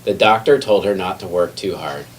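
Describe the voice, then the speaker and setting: A man's voice: deep voice, normal speed. A man reading a children's picture book aloud expressively, sometimes stumbling and correcting himself. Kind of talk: children's read-aloud storytelling. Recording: distant mic with faint background noise.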